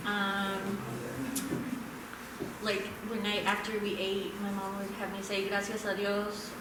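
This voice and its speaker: A woman's voice: mid-range voice, completely flat delivery, slow pace, heavy background noise. A woman from the United States, an audience volunteer chatting easily about growing up speaking two languages. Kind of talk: casual chat on stage. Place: small theater, live audience. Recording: echoey theater, distant mic.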